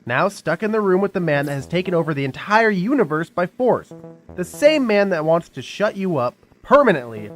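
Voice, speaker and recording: Man as exasperated, higher-pitched sidekick. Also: big pitch swings, normal speed, faint music behind. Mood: nervous scolding. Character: exasperated, worried male sidekick of the hero.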